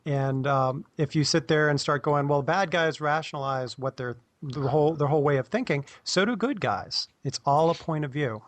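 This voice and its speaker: A man's voice: fairly high pitch, moderate pace, no echo. A man speaking as a podcast panelist in a casual discussion.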